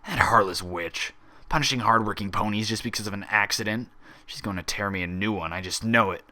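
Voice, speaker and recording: A man's voice: lowish voice, a little fast, sharp tone. A man voicing a cowardly, grumbling stallion orderly in a story reading, whiny and nervous. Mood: bitter grumbling. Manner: muttering complaints, stammering when cornered.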